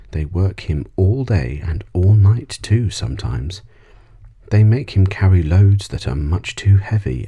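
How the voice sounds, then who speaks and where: A kindly old mother-like cat, acted by a man tells a tale in a bedtime storybook. The voice is low, mellow and husky, with an earnest, chatty manner. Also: full character voice. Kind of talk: storybook character reading.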